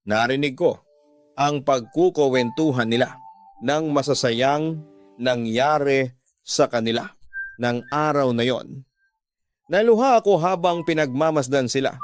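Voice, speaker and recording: Male narrator for emotional radio drama, scripted storytelling, medium pitch, music bed.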